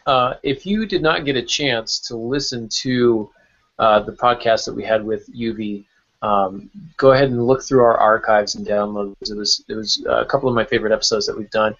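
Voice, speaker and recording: A man's voice: fairly low pitch, normal speed, fairly bright tone. A man hosting a podcast roundtable in a casual, conversational way over an online call. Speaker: podcast host. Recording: dull lo-fi online call audio.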